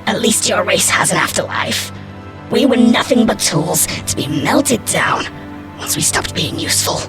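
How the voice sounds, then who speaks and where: A woman's voice: mid-range voice, expressive, measured pace, over music. A woman playing a world-weary, fatalistic female alien hybrid engineer in a science fiction audio story, sardonic and despairing. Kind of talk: dramatic audio story acting.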